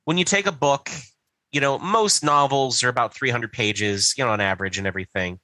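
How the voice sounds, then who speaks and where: A man with a bright, firm voice in a very dry recording. He co-hosts a podcast and lays out his reasoning in a conversational, explanatory way, checking in as he goes. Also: medium pitch, fairly quick, no room sound, poor audio. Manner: explanatory, checks in with "right" and "you know". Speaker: podcast co-host. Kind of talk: casual explanatory discussion.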